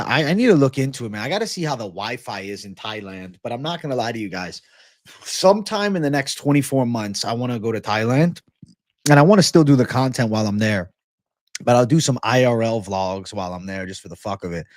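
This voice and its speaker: A man's voice: mid-range voice, no room sound, clean studio sound. A man hosting a casual livestream, chatting and answering viewers in slangy, profane, bro-heavy banter. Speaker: livestream host.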